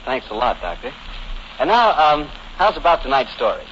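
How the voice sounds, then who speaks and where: A man's voice: medium pitch, sing-song, normal speed, fairly bright tone. An American man announces in a 1940s radio style. He has a mid-range voice and a genial, folksy, scripted manner. The recording is dull with faint noise. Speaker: announcer and host.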